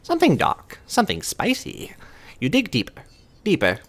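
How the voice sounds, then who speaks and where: A man's voice: high-pitched, huge pitch swings, normal speed. A young man hosting a let's-play, reading game narration theatrically and bantering casually. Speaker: gaming channel host.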